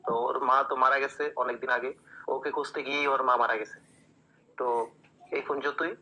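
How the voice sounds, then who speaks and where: Bright, sharp-voiced man giving halting testimony. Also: mid-range voice, steady tone, low-quality recording. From Lakshmipur, Bangladesh. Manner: halting, repetitive. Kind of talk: informal spoken testimony.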